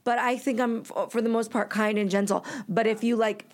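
A woman's voice: on the high side, fairly even intonation, fairly quick. A young woman from New Jersey chatting casually and telling stories, full of fillers and restarts. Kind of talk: casual chat.

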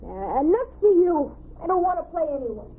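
A scornful twelve-year-old boy in a 1950s radio play. The voice is high, sulky and taunting, heard through a tinny old recording. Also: big pitch swings, a little fast. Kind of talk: 1950s radio drama acting.

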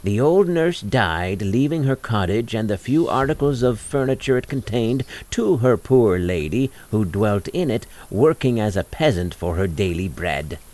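A man narrating a scripted fairy tale as storyteller, dramatic and measured. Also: on the low side, animated, a little mellow.

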